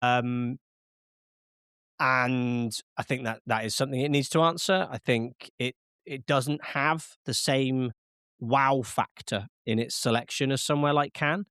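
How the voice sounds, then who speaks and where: A man's voice: medium pitch, steady tone, a little slow, fairly bright tone, clean tone, dry recording, studio quality. A man hosting a casual film podcast, chatting with frequent fillers and restarts while sounding slightly nasal from a cold. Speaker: podcast host.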